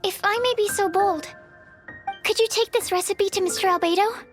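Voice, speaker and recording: A shy young alchemist speaks in a very high, soft voice. She is earnest and polite, and often hesitates or restarts mid-sentence. Faint game music sits behind her. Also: normal speed. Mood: timid. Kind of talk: video game dialogue.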